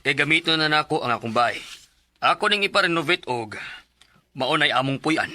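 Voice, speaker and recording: A man's voice: fairly high pitch, lively intonation, brisk, sharp tone. A man acting an assertive middle-aged house buyer and landlord in a Cebuano Filipino radio drama. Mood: firm, businesslike.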